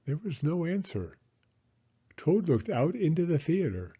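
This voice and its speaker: A middle-aged man narrating for children in an expressive storytelling style. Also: fairly high pitch, measured pace, slightly rough, muffled recording. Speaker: children's storyteller, elementary school teacher.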